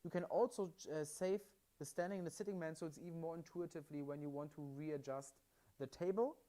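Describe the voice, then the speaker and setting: A man's voice: a little high, fast, dark tone. Upbeat German-accented man presenting products. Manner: chatty, upbeat, explains step by step with frequent "yeah?" tags. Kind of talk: live product demonstration. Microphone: mic worn at his side.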